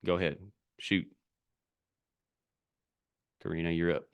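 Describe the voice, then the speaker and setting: A man's voice: deep voice, little pitch movement, slow, clear, resonant tone. A middle-aged man coaching a group over a video call, talking bluntly in short, punchy, repeated sentences. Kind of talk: motivational talk on a live video call.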